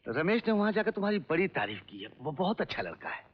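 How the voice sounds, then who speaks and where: A man playing a gentle, concerned elderly father in an old film drama. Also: high-pitched, very animated, fast, a little hiss or hum. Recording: dull old film track, long reverb.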